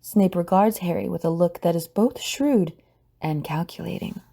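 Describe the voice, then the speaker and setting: A woman's voice: on the low side, normal speed, vocal fry, warm tone. A woman narrating a scripted audio drama as storyteller.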